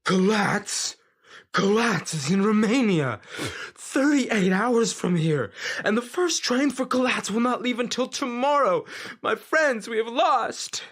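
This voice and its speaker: An actor in an audio drama playing an earnest, urgent leader of vampire hunters, with an emphatic delivery. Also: male voice, sits high, expressive, slow pace, fairly bright tone, heavily acted. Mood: dismayed, urgent.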